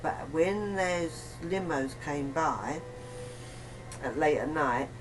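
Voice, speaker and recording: A woman giving a casual, rambling account in a chat, halting and often repeating herself. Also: low-pitched, lively intonation, music in the background. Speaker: interview guest. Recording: noisy amateur recording of a chat.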